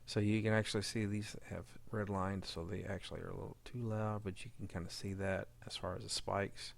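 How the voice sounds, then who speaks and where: A man's voice: fairly low pitch, flat delivery, a little fast, gravelly. A man talking casually through a sound-editing demo as he works, often muttering to himself. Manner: mumbles file labels to self while working. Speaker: fan audio drama sound editor. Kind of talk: casual screen-recorded editing demo, talking while working. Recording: faint background noise, clicking.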